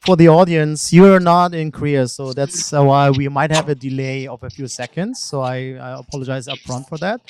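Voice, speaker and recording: A man's voice: medium pitch, steady tone, moderate pace, few pauses, darkish tone, slight background noise. A man moderating an interview, polite and a little hesitant, with frequent fillers. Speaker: interviewer, attorney.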